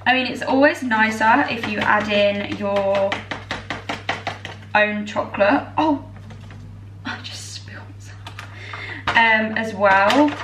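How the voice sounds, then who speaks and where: A young woman in her twenties, tired and a little croaky, chatting in a bubbly way to her camera while she bakes in her home kitchen. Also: medium pitch, slow pace, pauses often, background noise. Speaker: vlogger. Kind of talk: casual chat. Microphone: far camera. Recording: echoey kitchen from far off.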